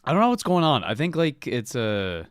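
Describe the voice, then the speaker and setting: A man hosting a book club podcast in casual chat, a little sniffly, talking in an animated, expressive way. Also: mid-range voice, normal speed, smooth, no echo, studio quality. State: slightly sniffly.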